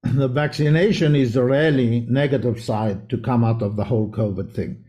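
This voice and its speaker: A man from South Africa who grew up in Israel talks as an interview guest. His voice is soft and dark in tone, and he explains things earnestly in long, winding answers. His pitch sits at an ordinary middle level for a man. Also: normal speed, slight background noise. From South Africa, raised in Israel. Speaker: guest homeopath. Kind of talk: conversational interview.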